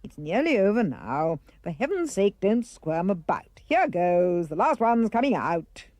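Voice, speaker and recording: A woman's voice: medium pitch, very animated, unhurried, a little mellow, dry recording. A woman voicing a pompous, mercenary male dentist with theatrical relish, in a children's verse reading. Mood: impatient.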